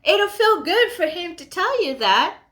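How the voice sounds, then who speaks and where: Female motivational speaker, high register, emphatic and conversational. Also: very animated, unhurried, bright, forceful tone. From Kenya. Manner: emphatic and conversational, asks rhetorical questions. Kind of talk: motivational talk addressed to listeners.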